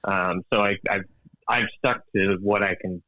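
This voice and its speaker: A man with a low voice speaks as a guest in a relaxed interview, recorded dry and close. He picks his words carefully, restarts often and laughs easily. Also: measured pace, no echo, rough recording. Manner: thoughtful, hesitant, many restarts and fillers, laughs often; calls himself an engineer, evidence-minded. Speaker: guest author. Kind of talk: casual interview chat.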